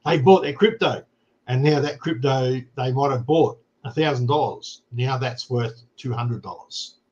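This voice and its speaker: A man's voice: mid-range voice, unhurried, a little mellow. Australian man hosting, muffled sound. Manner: explains plainly, with frequent "right?" checks. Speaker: show host. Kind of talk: casual chat.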